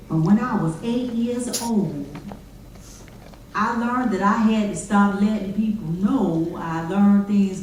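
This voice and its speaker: A woman's voice: mid-range voice, a little mellow, close to an everyday voice. A woman performing a plainspoken formerly enslaved storyteller in folksy dialect, as a readers theater monologue. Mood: reflective. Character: formerly enslaved houseboy-servant recalling childhood, plainspoken. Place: chapel stage, distant microphone. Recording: echoey chapel from far off, noisy.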